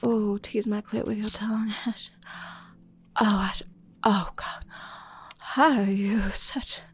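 A woman voicing a flirty, teasing adult server in an intimate audio roleplay, talking in a breathy whisper full of endearments. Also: medium pitch, steady tone, slow. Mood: breathless.

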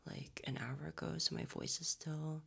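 A young man whispering softly into a close mic, his voice raspy and a little hoarse from a recent cold. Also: a little high, level tone. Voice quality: raspy, strained.

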